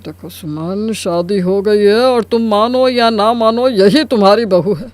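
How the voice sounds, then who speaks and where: A man's voice: high-pitched, measured pace, no room sound. A man playing a middle-aged, easygoing father-in-law in a Hindi cartoon story, soothing and reasoning in manner. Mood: calming, firm. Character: kindly, easygoing father-in-law who keeps the peace.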